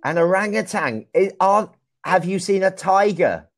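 A young man from England, teaching a young child over a video call in slow, clear, encouraging speech full of exclamations. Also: high-pitched. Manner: slow, clear and lively, often exclaims and laughs. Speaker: online English teacher. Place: small room indoors. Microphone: video call with wired earphone mic.

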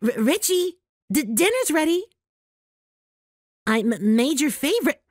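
A woman voicing a gentle, devoted, nerdy young man with a heavy stutter in a comic dub. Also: sits high, big pitch swings, unhurried, no echo, studio quality. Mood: worried. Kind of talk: amateur comic dub acting.

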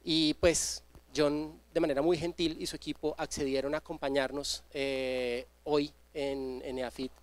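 A man, introducing a guest speaker at a university seminar, pausing often with filler sounds, speaking into a handheld mic. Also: a little high, little pitch movement. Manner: hesitant, many filler sounds and repeated words. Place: university seminar room with audience, indoors. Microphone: handheld microphone.

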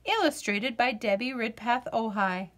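A woman's voice: fairly high pitch, unhurried, a bit bright. A woman reading a children's picture book aloud as a storytime reader.

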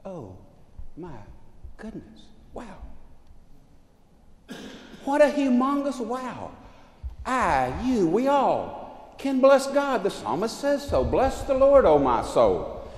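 An older man delivering a sermon with a lively, swooping voice that rises high and drops low. He moves about the chancel and raises his arms as he preaches. The sound is roomy, with a long church reverb, heard from a distance. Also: slow, long pauses. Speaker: pastor. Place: large church sanctuary.

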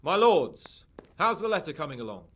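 A man's voice: on the high side, big pitch swings, moderate pace, a bit bright, slightly rough, heavily acted. An actor playing a witty, cocky young nobleman who chats wryly and talks a lot in a video game cutscene. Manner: breezy, wry, talkative. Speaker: game character. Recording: game audio over horse hooves. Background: horse hooves clip-clopping.

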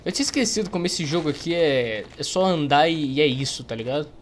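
A man's voice: fairly high pitch, animated, fast. Young Brazilian man gaming over noisy ambience. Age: young adult. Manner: slangy, joking, rambling. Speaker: gaming streamer. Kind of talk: casual gameplay commentary. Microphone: close desk microphone. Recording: noisy, game ambience and typing. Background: game ambience, keyboard typing.

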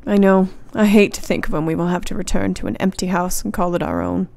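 A woman's voice: on the low side, sing-song, quick pace, gravelly, very noisy. A woman playing a caring, observant young Victorian wife in a radio play. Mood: cheerful, tender.

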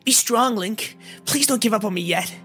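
A man's voice: high-pitched, sing-song, normal speed, a bit bright, husky, voice pushed up, full character voice. A man voicing a small, caring, anxious female fairy with a pleading delivery in a fantasy story, music underneath. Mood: pained, urging.

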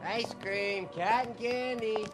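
Very high-pitched boardwalk vendor. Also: male voice, fairly bright tone, faint music behind. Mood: hawking. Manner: drawn-out hawker's call. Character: boardwalk vendor hawking ice cream and cotton candy. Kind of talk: radio drama acting.

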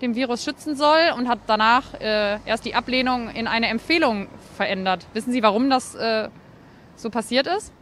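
A woman's voice: on the high side, normal speed, fairly bright tone. Young female street interviewer, city noise behind. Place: busy city street outdoors. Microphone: handheld microphone. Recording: outdoor street with traffic noise. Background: traffic and city ambience.

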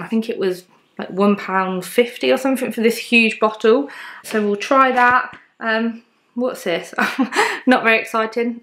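A woman's voice: on the high side, fairly bright tone. A woman, chatting casually to camera at home as she shows off a shopping haul, upbeat and full of ums. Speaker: haul vlogger. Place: bright home living room, indoors.

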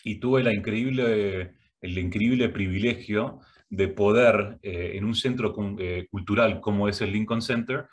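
Middle-aged man, Argentine accent, lowish voice, halting with fillers, webcam talk from a home office. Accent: Argentine Spanish. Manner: hesitant, many filler sounds and restarts. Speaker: guest speaker, arts education director. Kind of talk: prerecorded video talk. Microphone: webcam, head and shoulders framing.

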